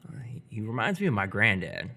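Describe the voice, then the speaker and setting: A man's voice: fairly low pitch, big pitch swings, brisk, creaky, pushed and bright, full character voice. An actor in live radio theatre playing an awkward, earnest seventeen-year-old boy, hesitant and curious. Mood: casual.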